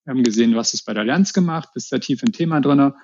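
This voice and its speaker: A man's voice: mid-range voice, very fast, a little mellow, no echo, dull audio. A man from the Berlin area answering interview questions in a casual, informal way, often repeating words. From Berlin area, Germany. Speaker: interview guest, customer experience manager.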